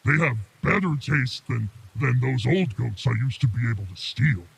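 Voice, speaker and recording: Casting: male player as dim, hungry giant troll, very rough creaky rasp, broken speech, swinging pitch, tabletop game. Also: lively intonation, exaggerated voice. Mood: stubborn. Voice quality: very gravelly, husky. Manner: halting, broken grammar.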